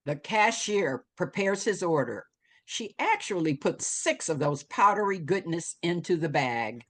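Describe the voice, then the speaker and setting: A woman's voice: lowish voice, animated, unhurried. A woman reading scene headings and action from a screenplay as dramatic scripted narration. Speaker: stage directions reader.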